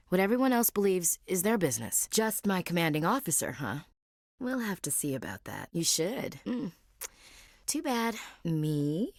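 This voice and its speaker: A woman's voice: lowish voice, no echo, studio quality, lightly acted. An actress voicing a frank, wry young woman soldier in a video game, plain-spoken and devout. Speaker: marine gunnery chief. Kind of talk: video game character dialogue.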